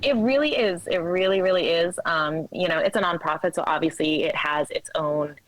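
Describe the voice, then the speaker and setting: Lower-pitched young woman, candid and halting. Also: no room sound, rough recording. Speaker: interview guest, coffee shop manager. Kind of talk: casual conversational interview talk.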